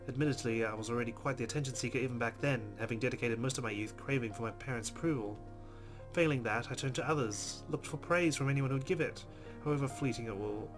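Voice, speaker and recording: A man's voice: mid-range voice, fast talker. A man hosting a let's play, reading visual novel narration aloud. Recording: music behind the voice.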